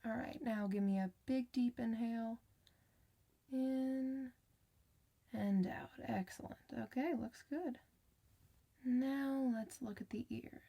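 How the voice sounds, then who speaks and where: Woman playing a gentle, creaky-voiced ASMR clinician. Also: on the high side, slow pace, pauses often, full character voice. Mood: gentle, reassuring. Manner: soft-spoken, soothing, encouraging, partly whispered. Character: gentle, reassuring female clinician for werewolves. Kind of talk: ASMR medical roleplay.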